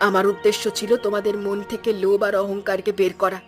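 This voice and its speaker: A woman's voice: medium pitch, monotone, fast talker, natural voice. A woman playing a stern, principled old village woman in a fairy-tale cartoon, speaking in a firm, moralizing way. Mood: earnest, forgiving. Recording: music behind the voice. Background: background music.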